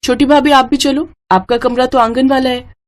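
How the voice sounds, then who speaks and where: A woman's voice: high-pitched, fairly even intonation, normal speed, pitched above the performer's own voice, close to an everyday voice. A woman voicing a polite, helpful young sister-in-law in a family story, chatty and respectful.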